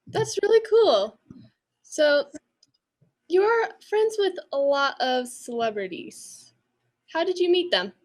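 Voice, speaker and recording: A woman hosting an interview, asking questions in a drawn-out, lively way. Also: high-pitched. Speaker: host.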